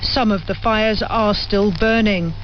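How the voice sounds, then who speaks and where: A woman's voice: medium pitch, fairly even intonation, measured pace. Woman correspondent on a muffled radio relay. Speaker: news correspondent. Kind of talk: scripted news report. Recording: muffled, lightly noisy shortwave radio relay.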